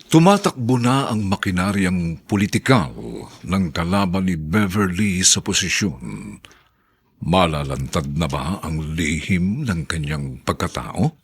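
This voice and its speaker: A man announcing a radio drama in dramatic scripted narration, theatrical and deliberate. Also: sits low, animated, slightly rough.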